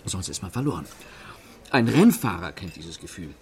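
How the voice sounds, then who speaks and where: Thrill-seeking gentleman thief, radio drama: self-dramatising, savouring, wide swings in pitch. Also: sing-song. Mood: relishing, intense. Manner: savouring, theatrical pauses. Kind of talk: radio play acting.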